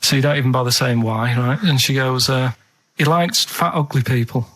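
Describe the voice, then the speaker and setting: A man chatting casually as a radio co-host, telling rambling anecdotes in a halting, colloquial way with earnest questions. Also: mid-range voice, a bit bright.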